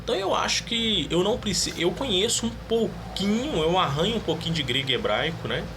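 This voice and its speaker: A man's voice: high-pitched, very animated, fairly quick, noisy background. A man giving his opinion in a casual monologue, earnestly reading Bible verses aloud. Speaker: religious video creator.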